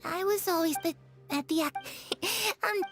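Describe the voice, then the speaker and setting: A woman's voice: extremely high pitch, a little slow. An actress voicing a cheerful, curious young girl in a video game, eager and chatty in her way of talking. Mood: excited, curious. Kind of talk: animated video game voice acting. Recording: music under the voice.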